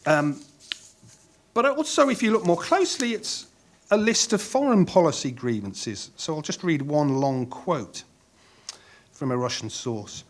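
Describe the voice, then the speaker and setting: A middle-aged man speaking on a conference panel in a measured way, pausing with frequent ums and restarting phrases. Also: mid-range voice.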